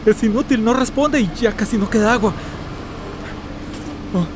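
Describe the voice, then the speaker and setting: A man's voice: moderate pace, close to an everyday voice. An actor playing an exhausted, frightened male migrant captive in a radio drama, breathless and distraught. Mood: desperate. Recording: loud vehicle and ambient rumble behind.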